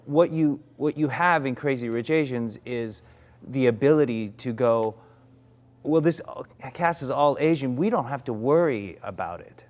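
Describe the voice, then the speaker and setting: A man's voice: mid-range voice, lively intonation, moderate pace, darkish tone. A man in his forties, an actor, reflecting candidly in conversation, often restarting his sentences. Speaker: actor, interview guest.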